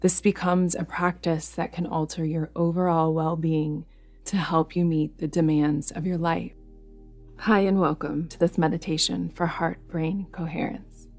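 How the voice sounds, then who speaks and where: Female meditation guide, deep register, flat soothing delivery, unhurried phrasing with pauses. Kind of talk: guided yoga nidra meditation narration. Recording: music behind the voice. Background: music.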